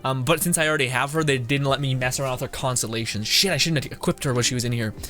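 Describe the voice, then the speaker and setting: A young male streamer talks loosely through his play at a middling pitch. He rambles, cuts himself off and swears casually, with game music clearly heard behind him. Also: rapid-fire, bright, forceful tone. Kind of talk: casual gameplay commentary.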